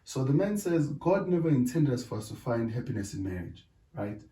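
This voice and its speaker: A middle-aged South African man whose first language is Zulu. He talks in a deliberate way in a casual discussion and keeps checking in with "right?". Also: medium pitch, very animated, mellow. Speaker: podcast co-host. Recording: echoey room, distant mic.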